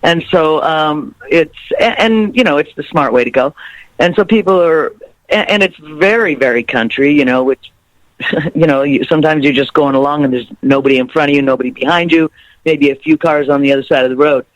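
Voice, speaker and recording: Laughing musician guest, dry close sound. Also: male voice, fairly high pitch, a little fast, no room sound, poor audio. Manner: rambling, laughs often, warm storytelling. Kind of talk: interview chat.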